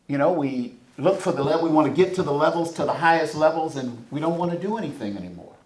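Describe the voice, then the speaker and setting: Man, panelist, emphatic, rising preacher-like delivery, slightly high voice, echoey room. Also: quick pace. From Southern United States. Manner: animated, emphatic, preacher-like build-ups. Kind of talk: panel discussion.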